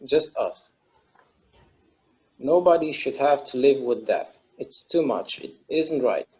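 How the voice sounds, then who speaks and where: A man acting a reflective immortal character in a naturalistic table read, speaking in a halting, earnest, conversational way. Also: medium pitch, animated, dark tone. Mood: wistful, solemn. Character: wistful, reflective immortal man confiding in his partner. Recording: slightly dull, lo-fi sound.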